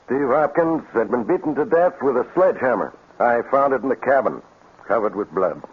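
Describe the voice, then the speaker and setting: Folksy sheriff, tinny old radio. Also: male voice, medium pitch, animated, breathy edge. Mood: measured testimony. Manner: folksy, deliberate. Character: small-town sheriff, plain-spoken, firm lawman. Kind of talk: 1950s radio drama acting. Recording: thin, phone-like vintage radio recording.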